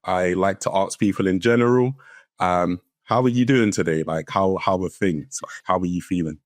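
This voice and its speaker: Host: man, deep voice, warm casual interviewer tone, dead-dry studio sound. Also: moderate pace, no room sound. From London, England. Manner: friendly and encouraging, casual slang like "bro". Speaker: podcast host, counsellor. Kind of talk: hosting a conversational interview.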